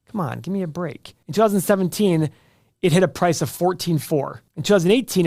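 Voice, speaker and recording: A man's voice: fairly high pitch, no room sound, studio quality. An American man hosting an investing channel, giving opinionated finance commentary in an emphatic, argumentative way straight to the viewer.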